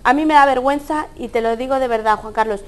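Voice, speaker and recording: A woman's voice: sits high, fast talker. A woman from Ecuador with an Ecuadorian Spanish accent, speaking earnestly as a guest in a studio debate. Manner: earnest, speaks from personal experience. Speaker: panel guest.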